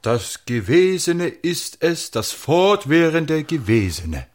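An actor playing an obedient, hesitant son who submissively rehearses lines in a radio play. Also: male voice, a little high, very animated, slow, a little hiss or hum. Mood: meek, rehearsing. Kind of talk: theatrical radio drama.

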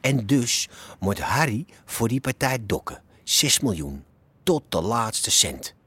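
An actor playing a grim, menacing gangster in a radio drama, with a rough, husky voice. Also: male voice, mid-range voice, sing-song, slow, pushed and bright, no echo, full character voice. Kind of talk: Dutch radio drama acting.

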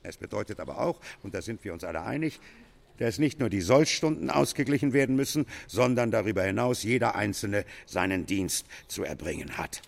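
An actor playing a domineering male company boss, speaking in formal management jargon with a creaky voice. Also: on the low side, animated, fairly quick. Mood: formal, measured. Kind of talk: staged radio play speech.

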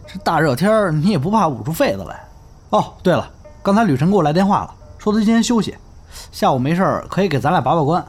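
Audio drama: easygoing young crosstalk lad, teasing, swooping intonation, music underneath. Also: male voice, a little high, sing-song, quick pace, breathy edge. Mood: teasing, animated. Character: easygoing, chatty young male crosstalk performer, helpful friend. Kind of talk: Chinese audio drama acting.

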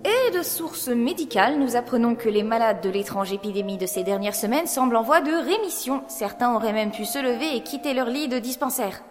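A woman's voice: on the high side, animated, a little fast, few pauses, over music, lightly acted. A woman playing a brave, affectionate young heroine and sister in an audio drama. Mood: brisk newsreading. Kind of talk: audio drama acting and narration.

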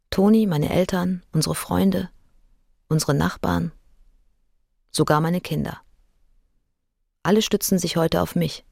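An actress playing a fearful, burdened mother addicted to morphine, reading her diary in a measured, introspective radio drama monologue. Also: fairly low pitch, gravelly, a little mellow, breathy edge, dry recording, studio quality, heavily acted. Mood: burdened, anxious.

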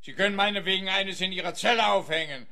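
A man's voice: high voice, fast, sharp tone. An actor playing a curt, unimpressed policeman, dry and officious, in a radio play. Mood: stern. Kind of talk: German-language radio play acting.

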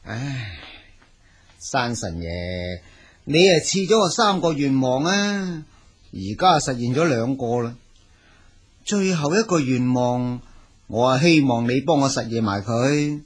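A lazy, blustering Cantonese farmer husband from a storybook tale. His husky voice swings widely as he whines, brushes people off and orders them about. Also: medium pitch, lively intonation, takes it slowly. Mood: pleading. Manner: whiny, bossy, dismissive. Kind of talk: Cantonese audio folk tale acting.

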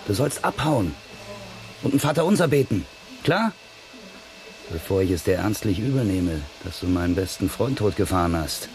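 An actor playing a menacing tough with a grudge in a German radio drama, curt, slangy and taunting. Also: male voice, low-pitched, animated, moderate pace, a little mellow.

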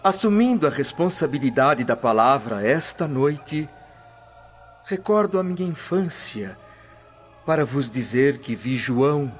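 An actor playing a humble, devout freed slave preaching earnestly to a crowd of Christians. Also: male voice, mid-range voice. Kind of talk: radio drama acting. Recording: dull audio with soft music.

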